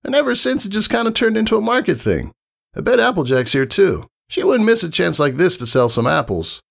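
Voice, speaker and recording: Here is a brash young female pegasus flyer from a storybook, acted out by a man. The voice sits high and jumps around with animation. The talk is casual and slangy, full of swagger and self-assurance. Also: sing-song, a little fast, vocal fry, heavily acted. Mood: teasing.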